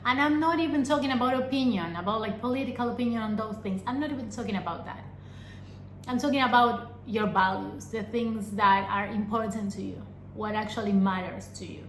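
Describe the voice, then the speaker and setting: A young adult woman from Argentina, a vlogger giving an earnest personal talk to camera, pausing often and asking rhetorical questions. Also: a little high, a little hiss or hum. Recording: echoey room with faint ambience.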